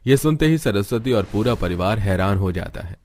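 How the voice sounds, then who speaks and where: Low-voiced male story narrator. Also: animated, normal speed, darkish tone. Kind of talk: scripted cartoon story narration.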